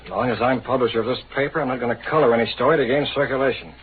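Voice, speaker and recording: An actor playing a principled newspaper publisher, crisp and authoritative, in a 1930s radio drama. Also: male voice, mid-range voice, very fast, natural voice. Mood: firm. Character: principled, commanding male newspaper publisher and boss. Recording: thin, narrow-band 1930s radio recording.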